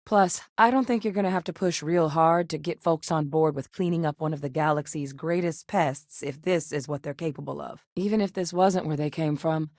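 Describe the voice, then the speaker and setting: Low, resolute military woman. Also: moderate pace, hardly any pauses, darkish tone, no room sound, clean studio sound. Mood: measured, serious. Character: guarded, resolute military woman, dry and determined. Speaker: video game voice actress. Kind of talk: naturalistic video game dialogue.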